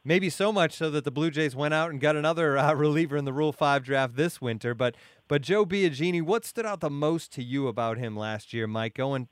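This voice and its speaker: A man's voice: mid-range voice, clean tone, no echo. A man hosting a sports podcast, talking through baseball in a conversational way.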